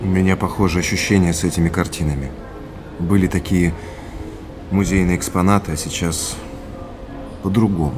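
A man's voice: low voice, fairly even intonation, normal speed, long pauses, over music, natural voice. An actor playing an earnest, reflective young man researching his family's past in an audio drama, speaking thoughtfully and a bit hesitantly. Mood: doubtful, reflective.

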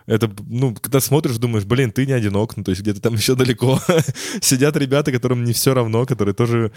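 A man co-hosting a music podcast, speaking in a relaxed, reflective way. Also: medium pitch, fast talker, no room sound, studio quality. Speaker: podcast co-host. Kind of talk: casual chat.

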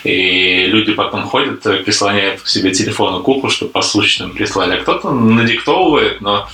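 A man's voice: lowish voice, a little fast, sharp tone, echoey room. A man from a conference program committee talking in a casual panel discussion, thoughtful and hesitant, with many fillers.

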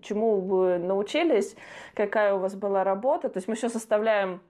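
A woman's voice: mid-range voice, normal speed, darkish tone. Chatty young woman podcast co-host. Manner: chatty, laughs often, reminiscing. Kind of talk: casual conversational podcast.

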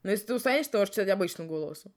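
Woman, podcast co-host, medium pitch, casual story reading, playful, teasing, swears freely. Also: expressive, rapid-fire. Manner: playful, teasing, foul-mouthed. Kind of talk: casual chat and story narration.

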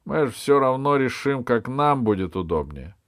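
A man's voice: mid-range voice, very animated, normal speed, fairly bright tone, dry recording, studio quality, pitched above the performer's own voice. A man voicing a pompous, fussy king in a storybook, with grandiose, self-satisfied delivery. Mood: amused. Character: pompous, fussy, capricious old-world king.

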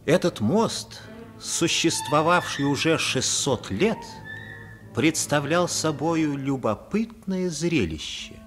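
A theatrical storyteller in a vintage radio play. His voice is slightly high for a man and richly varied in melody, telling an adventure tale in measured phrases over music. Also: animated. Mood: measured storytelling. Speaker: narrator. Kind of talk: scripted storybook narration in a 1950s radio play. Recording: vintage 1950s radio recording.

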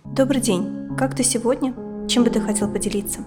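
A woman playing a calm, attentive psychotherapist in her thirties in an audio drama, asking and guiding in a measured way. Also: fairly high pitch, music in the background, natural voice.

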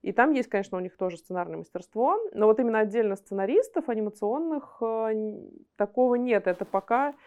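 A woman's voice: fairly high pitch, a little fast, phone-quality sound. A woman being interviewed as a guest, explaining things conversationally and often rephrasing herself mid-sentence. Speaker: interview guest from an animation studio.

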